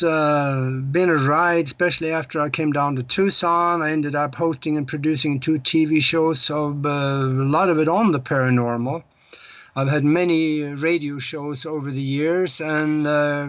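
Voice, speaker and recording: A man's voice: a little high, steady tone, a little slow, fairly bright tone, no echo, lo-fi sound. An older man from Norway, a guest on an interview podcast, telling his story unhurriedly with many hesitations. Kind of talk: casual interview.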